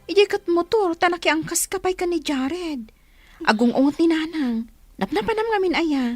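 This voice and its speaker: A woman's voice: very high voice, animated, normal speed, a little mellow, soft background music. A woman playing a concerned older sister in a comedy drama, coaxing and questioning, with a northern Philippine accent. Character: concerned older sister keeping watch, peacemaking. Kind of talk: amateur comedy drama acting.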